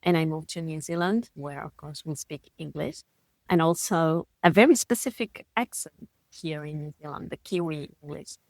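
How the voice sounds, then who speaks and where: A woman with an Argentine Spanish accent talks in a thoughtful, earnest way, leaning slowly on the words that matter to her. Her voice sits fairly low. The recording is close and dry, with no room sound around her. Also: dry recording. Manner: thoughtful, earnest, with slow deliberate stresses. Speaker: podcast host. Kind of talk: reflective solo podcast talk.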